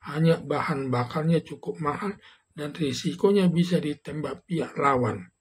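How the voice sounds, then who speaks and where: A man's voice: fairly high pitch, darkish tone, husky. An older man from Indonesia reads a scripted commentary in a formal manner, stumbling now and then over his words. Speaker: legal commentator, retired prosecutor and law lecturer. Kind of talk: scripted commentary, read aloud.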